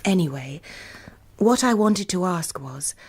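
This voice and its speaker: An actress playing a frank, guarded young woman in a radio drama, understated and quietly sceptical. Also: fairly low pitch, lively intonation, unhurried. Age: young adult. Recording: crowd chatter behind the voice.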